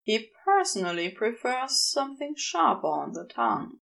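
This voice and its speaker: A woman reading a piece of fiction in a measured, deliberate, intimate storytelling style. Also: on the high side, very animated, creaky, fairly bright tone, low-quality recording. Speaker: amateur audiobook narrator.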